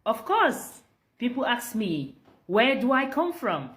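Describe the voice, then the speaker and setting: A woman's voice: on the high side, big pitch swings, slow, fairly bright tone. A woman speaking in a wry monologue with a repeated refrain. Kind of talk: spoken monologue clip.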